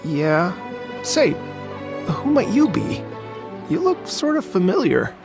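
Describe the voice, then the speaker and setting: A work-shy young scholar with a slightly raised, gravelly voice. He stammers and hedges, halting over his words. Soft game music hums behind him. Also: sits high, huge pitch swings, full character voice. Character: idle young male scholar, hesitant, unmotivated.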